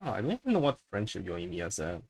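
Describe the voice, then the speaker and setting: Very low-voiced man streaming a game. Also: big pitch swings, fast, darkish tone, breathy edge, no echo. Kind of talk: casual live let's play commentary. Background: faint game music.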